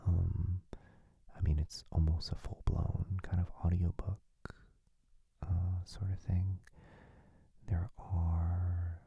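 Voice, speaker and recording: A man chatting casually in a soft, hesitant whisper, like an ASMR creator signing off to listeners. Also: deep voice, gravelly, slightly rough, dry recording, lo-fi sound. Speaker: voice actor and ASMR channel creator.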